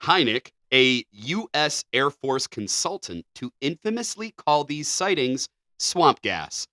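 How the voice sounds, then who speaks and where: A man's voice: mid-range voice, sing-song, takes it slowly, bright, forceful tone, dry recording, studio quality. A man co-hosting a podcast, chatting casually and reading news articles aloud. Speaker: podcast co-host.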